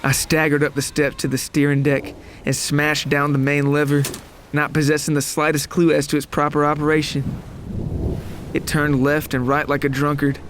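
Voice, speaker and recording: A man's voice: on the high side, slight background noise, close to an everyday voice. A man voicing a traumatized survivor of a monster attack, narrating his horror story in a grave, literary, dramatic way. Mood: frantic, tense. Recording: music behind the voice.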